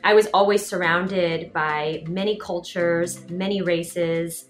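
Effusive woman performer telling her story, lowish voice, roomy sound, faint music underneath. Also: fairly even intonation, slow pace. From Washington, D.C. area, USA. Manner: effusive and upbeat. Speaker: performer, director and choreographer. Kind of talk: first-person audio portrait narration.

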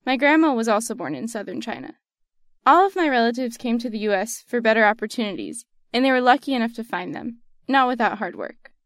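Young adult woman, General American accent, earnest personal-essay read, slightly high voice. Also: animated, brisk, no room sound, studio quality. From United States. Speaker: student essayist.

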